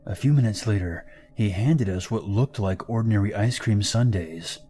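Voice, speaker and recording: A man narrates horror stories in a fairly low voice, keeping a calm, steady pace. Clear background music runs under his narration. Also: moderate pace. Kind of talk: scripted horror story narration.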